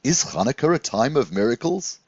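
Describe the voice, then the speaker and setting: An earnest, curious magical scarecrow from a children's storybook, played by a man, speaks in a lifted, lilting voice. He is polite and full of wonder, with very expressive swings of pitch. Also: brisk, husky, dry recording, muffled recording. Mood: curious, questioning.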